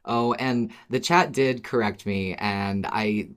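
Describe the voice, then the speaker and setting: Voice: mid-range voice, slow. A podcast co-host in casual fan discussion, talking in a wry, informal way.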